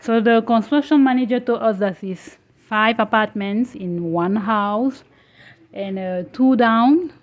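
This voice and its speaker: Non-native woman giving a halting house tour. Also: on the high side, highs cut off. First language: not English. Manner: halting, with restarts and simple phrasing. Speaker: travel vlogger, host. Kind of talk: casual walking house tour. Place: house under construction, indoors and out.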